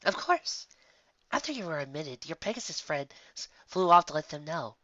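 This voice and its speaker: A man's voice: a little high, very animated, normal speed, sharp tone, dry recording, dull audio, voice pushed up. A man voicing a concerned female nurse pony with a caring, professional manner in an amateur story reading. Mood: kindly, inquiring.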